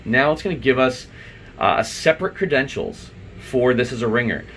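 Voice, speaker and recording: A man's voice: medium pitch, expressive, moderate pace, a bit bright, a little hiss or hum. A man giving an informal, unscripted step-by-step tutorial, thinking aloud as he works. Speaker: IT installer giving a software tutorial. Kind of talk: unscripted step-by-step screen walkthrough.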